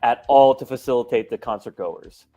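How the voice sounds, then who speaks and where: A man's voice: medium pitch, moderate pace, mellow. A man co-hosting a live talk show, ranting in a heated, casual way.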